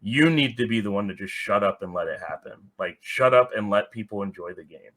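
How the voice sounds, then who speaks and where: A man talks casually and bluntly, sharing opinions as a podcast guest. His voice is fairly low for a man, with a bright, forceful edge. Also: brisk. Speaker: podcast guest, soccer fan.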